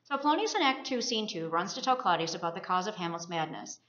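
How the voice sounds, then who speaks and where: Female college student presenting a scripted literary discussion at a brisk pace, roomy lo-fi sound. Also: lowish voice, lively intonation, fairly bright tone. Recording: roomy, muffled lo-fi recording.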